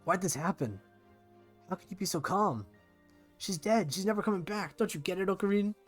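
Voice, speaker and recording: A man's voice: sits high, lively intonation, fairly quick, breathy edge, faint music behind, voice pushed up. A man voicing a distraught, panicky young male friend in a visual novel, pleading and exclaiming. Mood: anguished, accusing.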